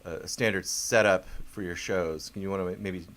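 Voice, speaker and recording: A man hosting a band interview, speaking in a deadpan, halting manner. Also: low voice. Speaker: talk show host.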